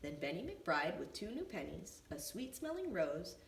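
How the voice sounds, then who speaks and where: A woman reading a storybook aloud for young children as a preschool teacher, with expressive, deliberate phrasing. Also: fairly low pitch, creaky. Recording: echoey room, distant mic, faint noise.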